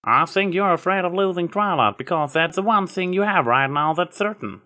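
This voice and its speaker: Storybook farm pony, female, played by a man, raised pitch, folksy country talk, earnest, frank, protective. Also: lively intonation, moderate pace, bright, forceful tone. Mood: thoughtful, reassuring. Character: warm, earnest, protective farm-girl pony, plain-spoken country mare.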